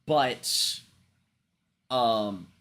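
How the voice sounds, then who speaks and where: A man's voice: mid-range voice, very slow, a bit bright. Sick man rambling on a stream. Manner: rambling, frequent swearing and filler words. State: sick, congested and coughing. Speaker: livestream host. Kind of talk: casual chat.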